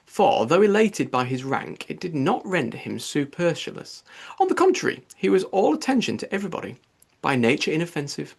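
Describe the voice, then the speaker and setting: A man reading a classic novel aloud as an expressive audiobook narrator, with a husky, slightly gravelly voice. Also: fairly high pitch.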